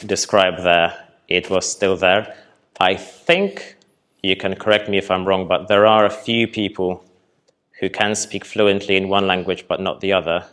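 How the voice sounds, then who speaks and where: A young man from Poland presenting at a festival hall and taking audience questions, relaxed and hesitant with many ums. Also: low-pitched. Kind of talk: conference talk with audience Q&A.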